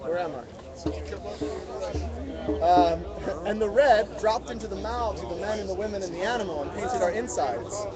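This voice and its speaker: Very high-pitched man reading a story aloud. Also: moderate pace, long pauses. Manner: prompts listeners to fill in words. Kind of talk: interactive read-aloud storytelling. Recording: outdoor live mobile stream, music and crowd. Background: music, crowd chatter, street sounds.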